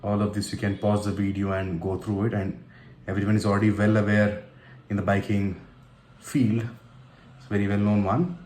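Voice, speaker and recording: A man with an Indian accent narrating an unboxing casually and unscripted, in halting phrases broken by pauses. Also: lowish voice, flat delivery, darkish tone. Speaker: tech and motorcycle vlogger. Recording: roomy echo with faint background noise.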